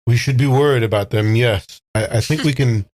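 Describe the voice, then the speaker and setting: A man role-playing in a tabletop game, with a measured, rather formal way of speaking. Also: medium pitch, darkish tone. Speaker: player. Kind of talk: improvised in-character role-play.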